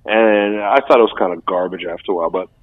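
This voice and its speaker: Brief: deep-voiced man, relaxed slangy co-host, thin lo-fi line. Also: quick pace, dry recording. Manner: laid-back slangy talk, laughs often. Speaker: podcast co-host. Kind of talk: casual chat. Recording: dry, tinny phone-like sound.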